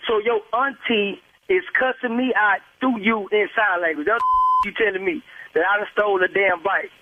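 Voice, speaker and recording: High-voiced indignant man on a muffled phone. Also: fairly even intonation, normal speed, no echo. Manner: blunt, indignant, repetitive. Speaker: prank call victim. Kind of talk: heated phone argument. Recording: muffled, noisy phone line with bleeps.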